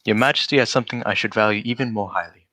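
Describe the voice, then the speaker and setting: A man acting a cerebral, restrained gentleman detective in a radio drama, speaking formally and courteously. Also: fairly low pitch, very fast, no echo, poor audio. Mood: courteous, wistful. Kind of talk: adaptation of a 1940s radio drama.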